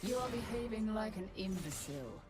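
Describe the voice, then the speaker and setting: A woman's voice: mid-range voice, animated, brisk, lightly acted. A woman voicing a wealthy, haughty lady assassin in a video game, with clipped, disdainful and sarcastic delivery. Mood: condescending menace. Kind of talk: video game character voice lines. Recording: game clips over loud music.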